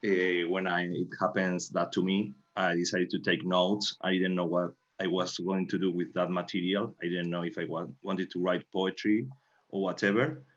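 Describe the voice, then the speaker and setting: A man's voice: sits low, flat delivery, normal speed. A man, a native Spanish speaker with a Spanish accent, recounting his work as a playwright in careful, non-fluent English. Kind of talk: recounting in interview. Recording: dull, muffled audio.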